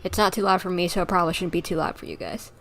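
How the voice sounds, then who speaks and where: A woman chatting casually on a gaming livestream, rambling along with animated griping. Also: fairly low pitch, rapid-fire. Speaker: streamer.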